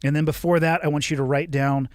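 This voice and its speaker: A man's voice: on the high side, steady tone, quick pace, no room sound, clean studio sound. A man in his forties hosting a podcast, reading a listener's email and answering with frank, coaching advice. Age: 40s. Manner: frank, coaching, blunt at times. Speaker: podcast host.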